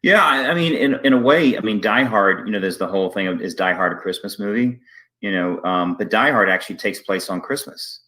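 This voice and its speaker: Low-voiced man, halting and conversational. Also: expressive, sharp tone. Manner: halting, restarts sentences and repeats words. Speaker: interview host, theater artistic director. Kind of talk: casual conversational interview.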